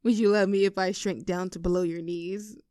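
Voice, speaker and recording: A woman playing a playful, clingy young girlfriend in an intimate roleplay audio, teasing and cooing in an animated, affectionate way. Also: medium pitch, a little fast, dry recording, studio quality, lightly acted. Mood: playful, amused. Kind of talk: intimate girlfriend roleplay audio, scripted one-sided conversation.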